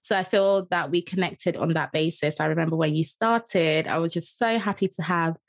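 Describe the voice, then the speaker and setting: A woman's voice: fairly low pitch, moderate pace, no room sound. A young woman hosting a podcast, chatty and bubbly, laughing often as she talks with a guest. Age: young adult. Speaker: podcast host. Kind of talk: casual interview chat.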